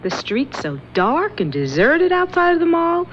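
An actress playing an earnest, spooked young woman in a 1970s radio drama, often speaking in a hushed whisper. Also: very high-pitched, wildly swooping pitch, a little slow, heavily acted. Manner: hushed, whispering over the board. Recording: muffled old radio broadcast, faint hiss.

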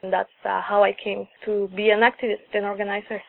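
A woman in her twenties from El Salvador, an activist telling her story slowly and personally in an interview over a call. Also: mid-range voice, flat delivery, gravelly, no echo. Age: 20s. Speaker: guest, activist organizer. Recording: tinny phone line.

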